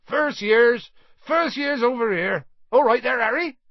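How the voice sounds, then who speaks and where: A man voicing a huge, hearty gamekeeper in a storybook, calling out rough, informal commands in a big booming shout. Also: lively intonation, normal speed, no room sound, muffled recording, heavily acted. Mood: hearty, bellowing. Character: huge, hearty male gamekeeper bellowing to children.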